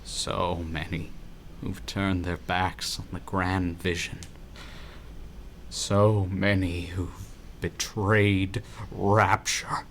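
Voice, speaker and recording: Grandiose bitter tyrant, very slow and deep. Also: male voice, fairly bright tone. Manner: slow, portentous oratory. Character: aging, grandiose, bitter tyrant city founder. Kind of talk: radio drama acting.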